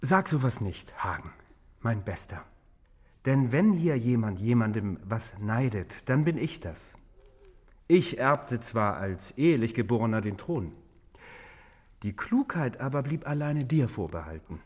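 An actor playing an insecure, easily led king in a fantasy radio drama, husky, affable and self-doubting. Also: mid-range voice, very animated, measured pace. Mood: affable envy.

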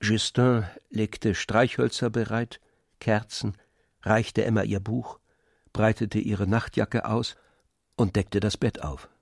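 A man narrating a literary radio drama in measured, deliberate phrases. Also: lowish voice, level tone, vocal fry, no echo, studio quality.

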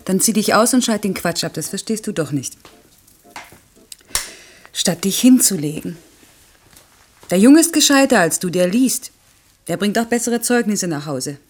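A middle-aged woman playing a harried, strict working mother in a naturalistic German radio play, with brisk, clipped delivery. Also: medium pitch, expressive, darkish tone, close to an everyday voice. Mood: stern.

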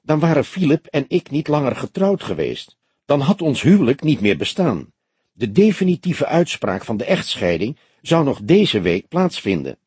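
A man's voice: mid-range voice, very animated, moderate pace, darkish tone, breathy edge, dry recording, pitched above the performer's own voice. A man voicing a fierce, offended young woman with a snappish manner in an audiobook. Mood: tearful. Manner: snappish, indignant. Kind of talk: audiobook character dialogue.

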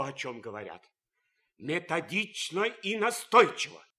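An actor playing an anguished, idealistic soldier torn by conscience in a radio drama, theatrical and swinging from hushed to fervent. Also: male voice, high voice, heavily acted. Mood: grave, horrified. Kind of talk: radio drama acting, impassioned monologue.

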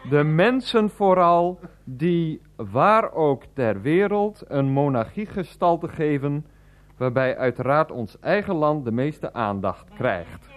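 A man playing a mild, earnest middle-aged nephew in a radio play, speaking politely, haltingly and a little defensively. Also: fairly high pitch, expressive. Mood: earnest, explaining. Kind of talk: naturalistic radio play dialogue.

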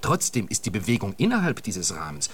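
A man's voice: lowish voice, huge pitch swings, brisk, husky, exaggerated voice. An actor in a naturalistic radio drama playing a distracted, flirtatious man who halts and searches for words. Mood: preoccupied, hesitant. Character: distracted, flirtatious man, brother and obsessive contest winner.

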